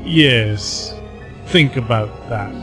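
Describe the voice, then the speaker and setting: A man's voice: medium pitch, extremely slow, pushed and bright. Man acting ominous speaker over eerie music. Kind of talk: audio drama acting.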